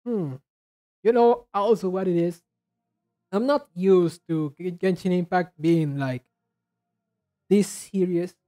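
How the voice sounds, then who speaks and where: A man giving casual commentary as a reaction video host, rambling haltingly and often repeating and restarting his words. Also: high voice, dark tone. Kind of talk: casual commentary on game trailers.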